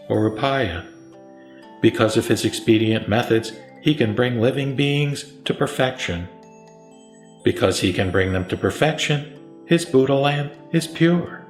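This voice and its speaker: A man's voice: lowish voice, unhurried, faint music behind. A man reciting scripted Buddhist scripture as narrator, solemn and formal in manner.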